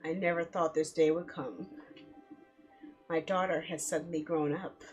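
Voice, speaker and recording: A woman voicing a gentle noble mother in a visual novel, speaking softly and tenderly. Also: low voice, fairly even intonation, moderate pace, soft background music, pitched below the performer's own voice, close to an everyday voice. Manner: soft, tender.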